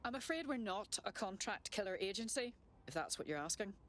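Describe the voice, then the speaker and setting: An actress playing a cool, terse resistance hacker operative in a video game, curt and assured. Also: female voice, mid-range voice, lively intonation, brisk, natural voice. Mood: dry. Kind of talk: video game cutscene acting.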